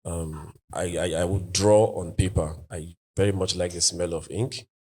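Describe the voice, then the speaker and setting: A very low-voiced Nigerian man in his forties, an artist on a panel. His first language is Igbo, and he answers in a considered, halting way, circling back with fillers and restarts as he builds his thoughts. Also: steady tone, darkish tone, breathy edge.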